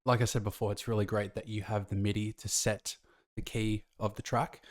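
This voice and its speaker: A man's voice: lowish voice, flat delivery, a little fast, no echo, clean studio sound. A man casually explaining music production as a tutor, talking through each step of a tutorial. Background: faint music from the track.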